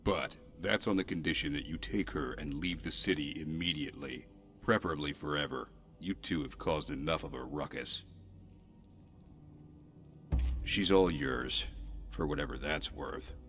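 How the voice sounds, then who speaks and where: Man acting a very deep-voiced, exasperated guard officer. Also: normal speed, fairly bright tone, faint music behind. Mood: weary, exasperated. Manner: weary, stern, sardonic. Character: gruff, exasperated male city guard officer. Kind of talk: video game character dialogue.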